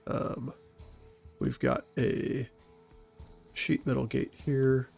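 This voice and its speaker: Gravelly stuffy man explaining game tactics. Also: mid-range voice, extremely slow, soft background music. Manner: calm, methodical explaining of tactics. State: stuffy from a cold. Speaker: gaming channel host and SWAT operator. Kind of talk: tactical gameplay commentary.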